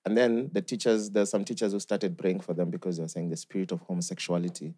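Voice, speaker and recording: A man's voice: low voice, flat delivery, fast, mellow. A young man from Kenya, a podcast co-host, telling stories and laughing easily, mixing English and Swahili. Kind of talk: casual podcast chat. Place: podcast studio.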